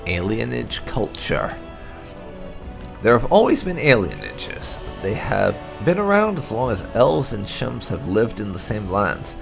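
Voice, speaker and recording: A man reading fantasy game lore aloud as scripted narration, in a measured, storybook-like delivery. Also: on the low side, wildly swooping pitch, creaky, over music.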